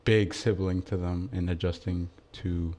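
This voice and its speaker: Low-voiced young man from Ethiopia. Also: measured pace. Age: young adult. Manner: relaxed, explanatory. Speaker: student guest. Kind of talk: casual interview answers.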